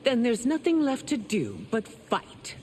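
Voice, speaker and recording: A woman's voice: fairly high pitch, wildly swooping pitch, a little slow, a little hiss or hum. A woman playing a proud, stern empress in a fantasy video game, speaking in a regal and formal manner. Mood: scornful. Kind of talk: video game dramatic acting. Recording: long hall reverb, music behind.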